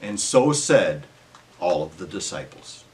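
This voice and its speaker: A man's voice: low voice, very animated, a little slow, darkish tone. A man reading scripture aloud as narrator in a formal storytelling way, his phrases falling at the end.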